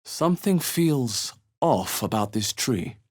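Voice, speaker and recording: An actor playing a wry, watchful adventurer hero in a fantasy video game, with a husky voice and a dry, theatrical delivery. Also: male voice, mid-range voice, big pitch swings, slow, a little mellow. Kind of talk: fantasy game character voice lines, short exclamations and remarks.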